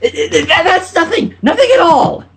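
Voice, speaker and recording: Brief: male reader doing a squeaky, nervous pony mare for a storybook fan reading, high and lively. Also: normal speed, gravelly, heavily acted. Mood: flustered. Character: flustered young unicorn mare.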